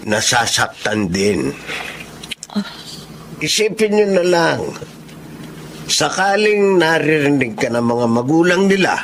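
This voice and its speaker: Slow-talking, humble middle-aged driver. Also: male voice, mid-range voice, a little hiss or hum. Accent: Filipino. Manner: hesitant, respectful, plain-spoken. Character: humble middle-aged male driver, deferential, fatherly, frank. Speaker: actor in a drama. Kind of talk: Filipino drama acting.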